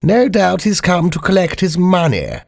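An elderly queen from a storybook, acted out by a male reader, in a voice lifted well above a man's range. She is proper and motherly, with a worried edge, and her lines swing in pitch. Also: big pitch swings, moderate pace, vocal fry, exaggerated voice. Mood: dry, wondering.

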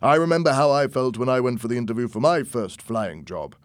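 A man's voice: medium pitch, lively intonation, a little fast, no echo, clean studio sound, pitched above the performer's own voice, natural voice. A man voicing a reassuring, encouraging middle-aged father. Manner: warm, encouraging.

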